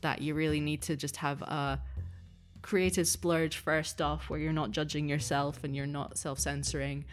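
A woman with a low-pitched voice chatting casually as a podcast guest. She is thoughtful and a little tentative, with a modest way of putting things, over faint music. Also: flat delivery, moderate pace. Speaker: podcast guest, audio fiction producer. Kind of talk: casual interview chat.

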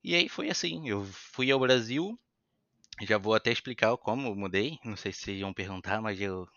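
Casting: young Brazilian man, lightly European-tinged accent, animated storyteller, bright and crisp, slightly dull lo-fi audio. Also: on the low side, moderate pace, no echo. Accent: Brazilian Portuguese with some European Portuguese influence. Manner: animated, chatty, acts out quoted voices. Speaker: podcast guest. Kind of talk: casual storytelling interview.

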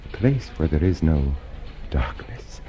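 An actor playing a nervous, deferential party clerk in a radio drama, speaking with anxious care. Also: male voice, rumbling low, expressive, measured pace, dark tone, lo-fi sound, a little hiss or hum.

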